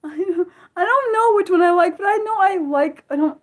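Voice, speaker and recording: A woman's voice: very high-pitched, moderate pace. Rambling, self-deprecating American woman streamer. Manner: rambling and self-deprecating, many trailing "I don't know"s; laughs often, swings between loud bursts and soft mumbles. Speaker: livestream host. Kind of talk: casual unscripted livestream chat, answering viewers.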